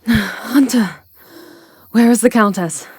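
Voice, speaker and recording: A woman's voice: fairly high pitch, takes it slowly, a little mellow, slightly rough, dry recording, heavily acted. A woman playing a matter-of-fact witch leader allied with a hunter, talking plainly and conversationally in an ASMR fantasy roleplay. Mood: brisk concern. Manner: plain-spoken, conversational, explanatory.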